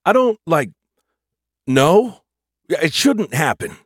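A man's voice: fairly high pitch, very animated, slow, breathy edge, no echo, studio quality, voice pushed up, heavily acted. A man voicing a laid-back surfer-dude ship computer in an audiobook, slangy, hesitant and full of fillers. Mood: alarmed, dazed.

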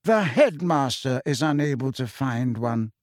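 A man voicing a calm, courteous old male wizard headmaster who speaks pleasantly but firmly. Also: medium pitch, unhurried, dry recording, clean studio sound, lightly acted.

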